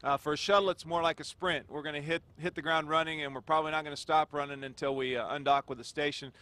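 A middle-aged man from Nebraska talks plainly and warmly about his mission, his voice a little high and bright. He holds a handheld mic outdoors. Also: steady tone, quick pace, no echo. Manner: folksy, plainspoken. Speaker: astronaut. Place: outdoors at a launch pad.

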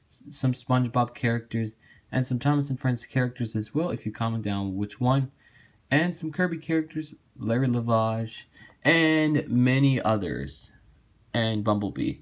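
A man with a mid-pitched voice hosts his own amateur video. He talks casually and directly to viewers, as in a simple introduction or sign-off. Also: lively intonation, unhurried. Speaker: amateur voice-acting video host.

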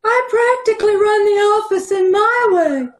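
A woman's voice: extremely high pitch, lively intonation, normal speed. A middle-aged woman playing an airy, oblivious secretary in an old-time radio comedy, over a roomy video call. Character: ditzy, oblivious, self-absorbed female office secretary. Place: indoors at home on a video call.

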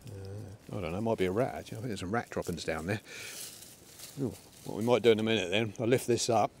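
Relaxed middle-aged man, slightly low voice, casual outdoor vlog talk. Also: fast, creaky, slight background noise. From England. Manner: chatty, relaxed, talks to his dogs. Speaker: air rifle shooting vlogger. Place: outdoors in a windy field by a pond. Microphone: camera on a tripod, then handheld.

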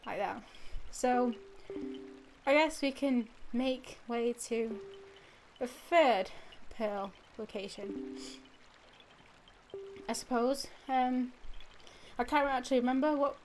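A woman's voice: on the high side, slow, darkish tone, soft background music, poor audio. A young woman with a stuffy nose from a cold, giving rambling casual commentary over a video game, full of fillers and restarts. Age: young adult. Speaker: let's play gamer. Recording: audible background noise.

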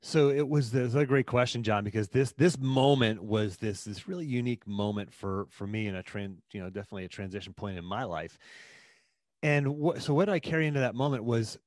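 A man in his forties, a performance coach, answering interview questions in an earnest, animated way from a home office, talking into a studio mic. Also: medium pitch, fairly quick, dry recording. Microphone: studio mic in front of him.

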